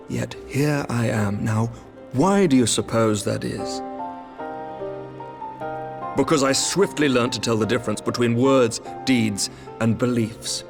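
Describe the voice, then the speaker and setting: A composed middle-aged knight commander from a fantasy game, shrewd and diplomatic. His gravelly, mid-pitched voice moves in formal, measured, old-fashioned phrasing, over a clear orchestral score. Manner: formal, measured, archaic diction.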